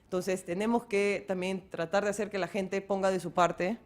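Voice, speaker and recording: A woman's voice: mid-range voice, steady tone, moderate pace. A woman who works on a free clinic project, explaining it fluently in a radio interview and mixing in English terms.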